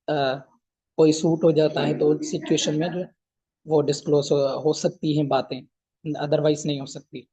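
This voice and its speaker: A man lectures in a fairly high but very even voice. The tone is husky and mellow. He explains informally and haltingly, repeating points and switching to English for technical terms. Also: poor audio. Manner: mixes English legal terms into speech. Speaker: law lecturer.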